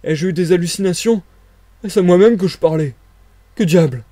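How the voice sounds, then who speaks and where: A man acts out a storybook tramp, gruff and easily alarmed. The voice jumps well above ordinary talk, loud and exclamatory, blustering and grumbling in bewilderment, in a dry recording. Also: high-pitched, moderate pace, dry recording, pitched above the performer's own voice. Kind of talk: dramatized audiobook reading.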